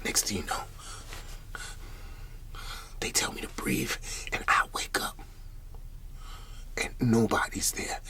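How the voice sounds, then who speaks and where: A man playing a shaken, humble country farmer in an ASMR roleplay, speaking in a halting, breathy whisper and repeating his words. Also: bright, forceful tone. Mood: confiding.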